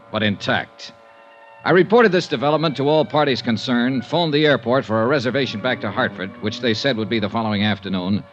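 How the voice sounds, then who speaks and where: An actor playing a tough, wry insurance investigator in a 1950s radio drama, with a clipped, hard-boiled delivery. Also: male voice, mid-range voice, lightly acted. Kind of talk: 1950s radio detective drama, first-person narration. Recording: muffled 1950s radio recording with music.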